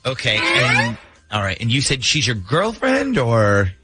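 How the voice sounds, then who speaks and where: A man's voice: medium pitch, wildly swooping pitch, a little slow, music in the background. A man hosting a morning radio show, talking through a prank call segment.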